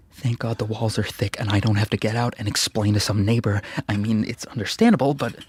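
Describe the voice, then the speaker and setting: An actor playing a jumpy, self-mocking young graduate student in a radio drama, husky and nervously muttering. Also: male voice, lowish voice. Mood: rising fear. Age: young adult. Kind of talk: radio drama acting, interior monologue.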